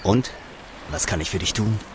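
A man's voice: low-pitched, moderate pace, background noise, close to an everyday voice. A man playing a guarded, proud and irritated character in an audio drama, curt and dryly cutting. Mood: wary, dismissive. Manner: curt, sardonic.

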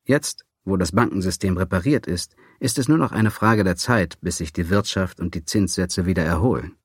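Deep male narrator, sardonic and dry, close dry mic. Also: normal speed, breathy edge, no room sound. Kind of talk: audiobook narration of a memoir.

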